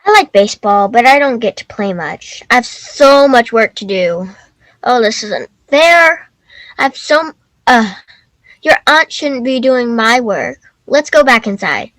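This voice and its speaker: Lady playing a sweet overworked stepdaughter, eager and wide-eyed, high bright voice, readers theater. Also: animated, a little slow, no room sound, low-quality recording. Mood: wistful.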